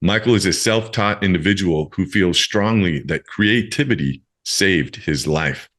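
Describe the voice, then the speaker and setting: A man's voice: deep voice, unhurried, a bit bright. A man hosting a podcast in an effusive, encouraging way, moving between scripted introduction and casual chat and addressing listeners directly. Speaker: podcast host.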